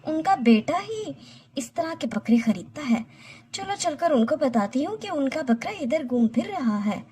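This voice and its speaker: Woman acting chatty cartoon neighbour, high mellow voice, admiring. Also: very animated, a little slow. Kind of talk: cartoon story dialogue.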